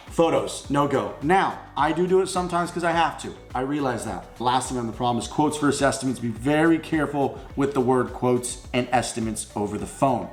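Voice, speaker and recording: A man giving an informal sales coaching talk, blunt and emphatic, slipping into sample phone pitches. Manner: blunt, emphatic, salesman-like, addresses listeners as guys. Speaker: instructor teaching phone sales for junk removal. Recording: roomy echo with music underneath.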